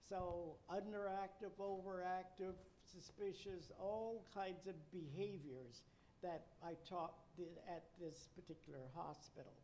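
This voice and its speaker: Older woman from Nova Scotia, unhurried reflective storyteller, dry asides, faint hiss behind. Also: on the low side, fairly even intonation, warm tone, rough recording. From Nova Scotia, Canada. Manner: measured, reflective, with wry asides. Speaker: interviewee, retired nurse educator.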